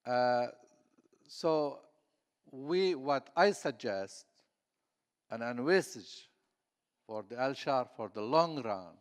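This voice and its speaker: A man's voice: mid-range voice, expressive, slow, long pauses. A man from southeast Turkey, speaking on a conference panel with many fillers and restarts. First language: Kurdish. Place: conference hall. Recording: echoey conference hall.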